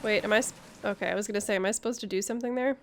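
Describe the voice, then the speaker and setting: A woman's voice: mid-range voice, fairly even intonation, racing, a little hiss or hum. A woman hosting a gaming video with casual playthrough commentary into a headset.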